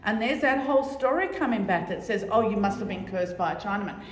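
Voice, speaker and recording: A middle-aged woman giving a loud, chatty, joking talk to a society audience, repeating her key points. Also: mid-range voice, lively intonation, quick pace. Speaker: guest speaker on oral history. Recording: echoey hall.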